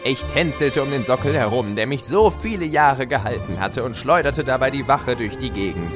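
Guy doing a smug playful chaos monster telling his story, really lively pitch, music behind. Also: moderate pace, close to an everyday voice. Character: gleeful scheming male chaos creature narrating his escape. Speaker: narrator and character in fan audio drama. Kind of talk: theatrical first-person audio drama narration.

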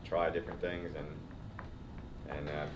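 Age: adult. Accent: Finland Swedish. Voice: very low man. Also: flat delivery, slow. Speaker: guest cycling coach. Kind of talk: casual podcast interview. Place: training studio, seated in recovery chairs. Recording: roomy, distant mic, noisy.

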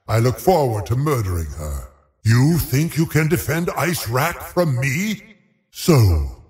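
An actor playing a haughty, cruel undead sorcerer in a fantasy video game, gravelly and menacing, with theatrical, imperious delivery. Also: male voice, medium pitch, darkish tone. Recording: long cavernous reverb.

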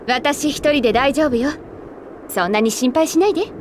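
A woman's voice: sits high, normal speed, slight background noise, lightly acted. A woman playing a determined, kind young warrior heroine in a Japanese video game, earnest and self-assured. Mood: confident.

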